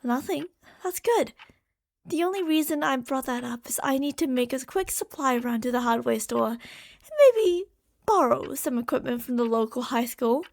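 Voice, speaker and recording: A woman's voice: high voice, moderate pace, creaky. A woman playing an impatient, obsessive female mad scientist in an ASMR roleplay, theatrical and condescending. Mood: brisk, evasive.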